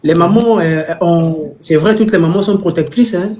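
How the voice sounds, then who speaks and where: Earnest high-voiced man repeating points. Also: moderate pace, a little mellow, slight background noise. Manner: earnest, repeats phrases, stressing his points. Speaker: guest. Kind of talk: interview over a call.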